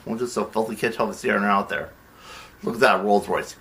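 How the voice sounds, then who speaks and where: A man's voice: fairly low pitch, a little fast, creaky, fairly bright tone, slight background noise. A man playing a brash, bullying theatrical producer in a comedy, blustering and commanding in a theatrical manner.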